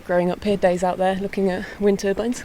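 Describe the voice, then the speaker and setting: A young woman, a harpist, chatting in a relaxed way with light laughs while out walking on a hillside. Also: mid-range voice, brisk. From born in England, raised in mid Wales. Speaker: harpist and guest. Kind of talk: casual walking interview. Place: outdoors on a hillside walk. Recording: faint outdoor noise.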